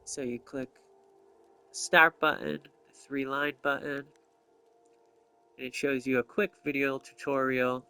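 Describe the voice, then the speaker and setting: A man's voice: mid-range voice, little pitch movement, measured pace, pauses often, pushed and bright, smooth. A man giving casual gameplay commentary, thinking aloud and explaining each step to viewers. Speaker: video game player and commentator.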